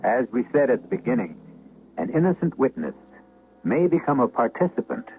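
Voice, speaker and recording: A man hosting a 1970s radio mystery, narrating from a script in a measured, dramatic storyteller's manner. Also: mid-range voice, big pitch swings, muffled recording, a little hiss or hum. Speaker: host narrator. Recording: band-limited 1970s radio recording. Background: music.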